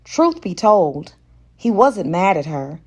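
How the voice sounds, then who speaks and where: A woman reading romance fiction aloud as an audiobook narrator, in a deliberate and expressive way. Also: on the low side. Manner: deliberate, acts out dialogue. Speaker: audiobook reader.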